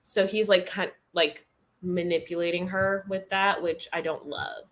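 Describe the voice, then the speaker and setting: A woman's voice: lowish voice, fairly even intonation, unhurried. A woman in a casual chat about books, speaking informally with slang and some swearing. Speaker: guest on a book reading livestream.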